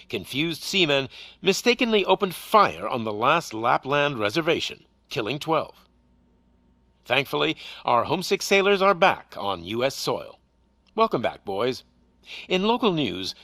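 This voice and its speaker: A glib TV news anchor with a bright, forceful voice. The delivery is crisp and upbeat, lifting and falling in a lively broadcast pattern, recorded close and dry. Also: male voice, a little high, no echo, full character voice. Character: polished, glib male television news anchor. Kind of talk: satirical video game newscast.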